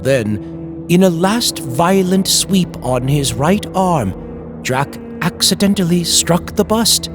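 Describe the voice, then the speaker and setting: A man reading scripted horror in an old-time radio style, with dramatic, deliberate, suspenseful delivery. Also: fairly high pitch, animated, over music. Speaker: horror story narrator, channel host.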